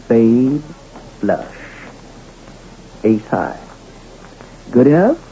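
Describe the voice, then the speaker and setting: An actor playing a terse, defiant Western poker player in an old-time radio drama. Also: male voice, medium pitch, very animated, drawn-out words, mellow. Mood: tense, confident. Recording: muffled, noisy old radio recording.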